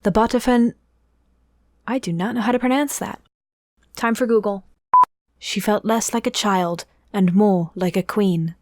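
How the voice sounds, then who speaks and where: A woman's voice: medium pitch, a little slow, long pauses, darkish tone, no room sound, clean studio sound. A woman, an amateur voice actor, reading scripted story narration with casual asides.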